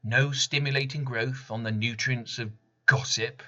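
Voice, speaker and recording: A man reading his own poem deliberately, in short emphatic phrases with pauses. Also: medium pitch, fairly even intonation, bright, forceful tone, lo-fi sound. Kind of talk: poetry recitation.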